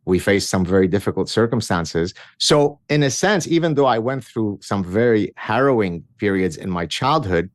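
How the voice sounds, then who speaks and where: A man's voice: fairly low pitch, lively intonation, moderate pace, hardly any pauses, no room sound, studio quality. A man in his fifties from Lebanon, a guest telling stories of his childhood in a wry, self-mocking way with many restarts. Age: 50s. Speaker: guest author. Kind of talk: conversational interview storytelling.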